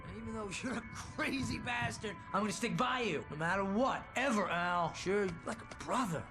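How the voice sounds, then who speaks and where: An American man playing an excitable, loyal, wisecracking best friend in a video game, blunt and animated in manner. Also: high voice, moderate pace. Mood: drunk, sentimental. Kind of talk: comic video game acting. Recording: music behind the voice. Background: game score music.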